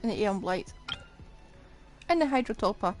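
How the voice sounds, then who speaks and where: Female game streamer, casual wry gameplay commentary, animated intonation, game music underneath. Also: medium pitch, moderate pace. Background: video game music and combat sounds.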